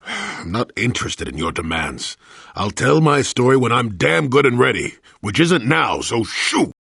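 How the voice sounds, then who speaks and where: An actor playing a gruff, rueful old former Jedi in a video game, an elderly storyteller with a gravelly voice and a wry, rambling, dramatic way of talking. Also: male voice, mid-range voice, big pitch swings, unhurried, fairly bright tone, no echo, clean studio sound, exaggerated voice. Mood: crotchety, irritable. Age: older. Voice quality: gravelly, husky. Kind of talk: scripted video game voice acting.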